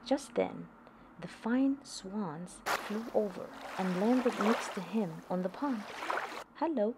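A woman reading a picture book aloud to young children, in a deliberate storytelling delivery. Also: mid-range voice, expressive, heavy background noise. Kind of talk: read-aloud storybook narration.

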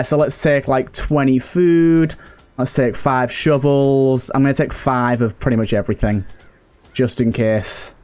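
A man's voice: medium pitch, a little mellow. A man hosting a video game playthrough with casual, conversational commentary. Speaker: gaming host. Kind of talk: casual let's play commentary.

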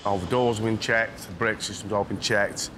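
Low-voiced workshop examiner. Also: male voice, fairly even intonation, moderate pace, music in the background. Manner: practical, plain-spoken, uses fillers. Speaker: carriage examiner. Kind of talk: casual interview. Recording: noisy workshop ambience.

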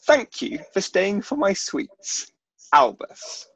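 A man's voice: sits high, wildly swooping pitch, slow pace, a bit bright, husky, no echo, heavily acted. A young British man playing a nervous, chatty, self-deprecating schoolboy wizard in a play reading, with a hesitant, stammering delivery. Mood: shy, grateful. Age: young adult. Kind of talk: theatrical table read. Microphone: video call. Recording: dull video-call audio.

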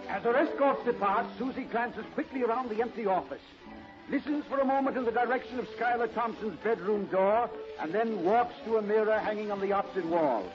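A narrator reading scripted story narration in 1930s radio style. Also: female voice, fairly high pitch, a little fast, natural voice. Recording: muffled old radio recording with music.

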